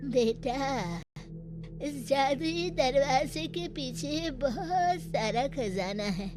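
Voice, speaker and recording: A woman voicing a mysterious old woman who guards treasure in a Hindi cartoon, with slow, drawn-out speech. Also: high voice, animated, music in the background, pitched above the performer's own voice, heavily acted.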